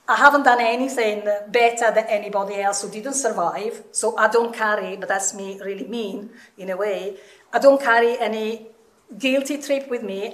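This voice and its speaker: High-voiced Southern European woman speaking in echoey room. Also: a bit bright. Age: middle-aged. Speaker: seminar speaker, researcher. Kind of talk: informal public talk. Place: indoor seminar room with seated audience. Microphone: microphone on a stand. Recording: echoey room, some distance from mic.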